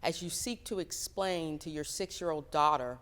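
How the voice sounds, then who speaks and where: Smooth low-voiced woman reading in a hall. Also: normal speed, a bit bright. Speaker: church member reading quotes aloud. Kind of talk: scripted reading of a historic letter. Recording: echoing hall with long reverb.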